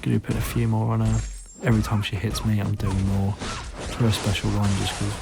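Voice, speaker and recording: A man with a hoarse, fading voice, giving tired, laid-back gameplay commentary full of ums. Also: fairly low pitch, flat delivery, a little fast, over music. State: hoarse, losing his voice; tired, yawning late at night. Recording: noisy recording with clicks.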